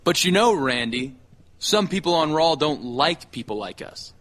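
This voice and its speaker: A man playing a cocky, scheming young wrestler in a video game cutscene, smooth-talking and persuasive. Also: fairly high pitch, expressive, unhurried, fairly bright tone. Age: young adult.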